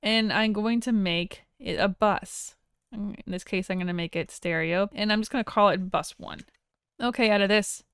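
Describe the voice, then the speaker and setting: Woman, plain-spoken instructor, informal explanatory narration, exceptionally smooth and clear, very dry close sound. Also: medium pitch, normal speed, dry recording. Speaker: audio software instructor. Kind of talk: informal screen tutorial narration.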